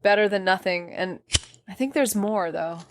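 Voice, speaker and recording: An actress playing a sardonic, restless young small-town amateur sleuth, narrating an audio drama in slangy first person. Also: female voice, mid-range voice, moderate pace, background noise, lightly acted. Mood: wry, muttering. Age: young adult. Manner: wry, sarcastic, slangy.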